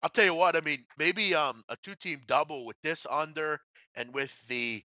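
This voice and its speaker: A man's voice: on the high side, normal speed, sharp tone, no room sound, dull audio. A man hosting a casual sports betting discussion, blunt and opinionated in a colloquial way. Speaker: host of a sports betting show.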